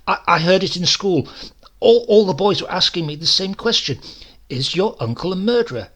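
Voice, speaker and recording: Man acting a curious little boy, pitched up. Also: lively intonation, moderate pace, a bit bright. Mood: innocent, questioning. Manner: hesitant, innocent questioning. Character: curious, naive young boy, eight or nine. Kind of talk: storybook character voice.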